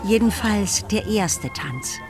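A woman reads scripted literary narration for a radio drama. Her voice sits at a middle pitch and tells the story expressively, with music clearly playing underneath. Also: animated, takes it slowly.

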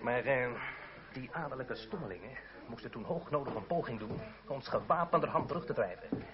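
Male actor as proud revolutionary delegate, rhetorical, lively intonation, old radio drama, muffled recording. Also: medium pitch, fairly quick, natural voice. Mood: gleeful, scornful. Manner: emphatic, rhetorical storytelling. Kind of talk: theatrical radio drama acting. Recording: dull old radio recording, busy crowd behind.

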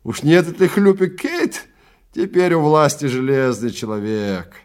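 A man's voice: fairly high pitch, lively intonation, a little slow, a little mellow, pitched above the performer's own voice. A man acts out a self-satisfied male clerk in a radio play. The voice sits raised and glib, with a mocking, matter-of-fact delivery. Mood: amused, smug. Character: snickering, smug male clerk behind a counter.

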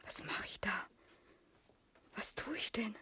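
A woman's voice: takes it slowly, sharp tone, in a whisper. An actress playing a lonely, anxious young woman thinking to herself hesitantly in a radio drama. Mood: anxious whispering. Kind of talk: radio drama interior monologue.

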